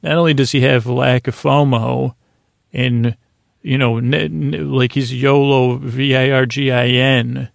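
A man with a creaky, gravelly voice hosting a sleep podcast, giving a halting, meandering, soothing bedtime monologue full of digressions. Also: medium pitch, little pitch movement, no room sound. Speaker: podcast host. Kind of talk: rambling bedtime monologue for falling asleep.

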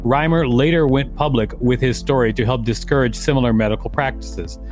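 A man's voice: mid-range voice, fairly even intonation, brisk, darkish tone, faint music behind. A man podcast co-host reading an encyclopedia article aloud and adding commentary.

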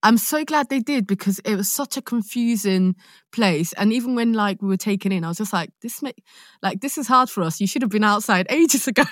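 A woman with a London accent, an actor being interviewed, telling anecdotes in casual, animated chat and acting out her own thoughts. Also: mid-range voice, a little fast, no room sound, studio quality. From London, England, born in Nigeria. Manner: animated storytelling with self-quoted asides.

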